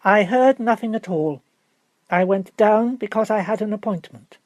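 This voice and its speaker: Man voicing a firm aristocratic lady, raised pitch. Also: normal speed, a little mellow, no echo, natural voice. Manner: plain, terse, unyielding answers. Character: composed, firm aristocratic lady witness in court. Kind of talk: audiobook character voice.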